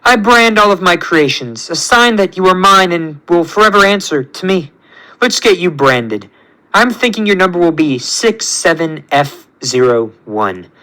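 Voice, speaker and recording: A man's voice: high voice, sing-song, sharp tone, full character voice, low-quality recording. Man acting grandiose, ranting villain who thinks he's god. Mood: smug. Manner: grandiose, ranting, drawn-out commands. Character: megalomaniac male villain scientist who calls himself god.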